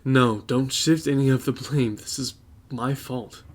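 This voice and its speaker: A man's voice: mid-range voice, fairly even intonation, unhurried, lightly acted. A man playing an earnest, sweet young boyfriend in an audio drama. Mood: apologetic. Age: young adult.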